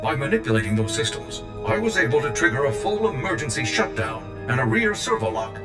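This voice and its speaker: A loyal combat droid with a low voice, proud and zealous. Every word is formal and precise, like a military report in a video game. The sound is echoey, with music behind it. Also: male voice, sing-song, brisk. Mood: matter-of-fact, reporting. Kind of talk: scripted video game acting. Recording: game cutscene with echo and music.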